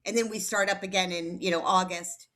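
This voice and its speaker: A woman's voice: on the low side, steady tone, brisk, pushed and bright. A woman hosting a training session on a video call, upbeat and rambling, a little flustered. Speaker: training host. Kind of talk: casual presentation on a video call.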